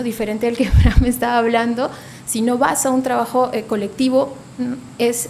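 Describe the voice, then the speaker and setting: A woman presenting at a conference panel, building her points in measured lists. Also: a little high, darkish tone, slight background noise. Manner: measured, lists ideas one after another.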